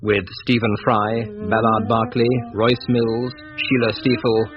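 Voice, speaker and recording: A man's voice: lowish voice, little pitch movement, slow pace. A man as a solemn announcer introducing a gothic radio drama and its cast. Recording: muffled old radio recording, music behind.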